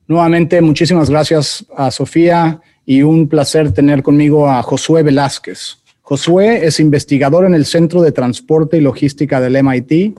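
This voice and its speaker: A man's voice: fairly high pitch, steady tone, moderate pace, dark tone. A Mexican man with a Mexican Spanish accent hosting a podcast interview, giving formal introductions and chatting with "este" fillers. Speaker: podcast host. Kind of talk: conversational interview.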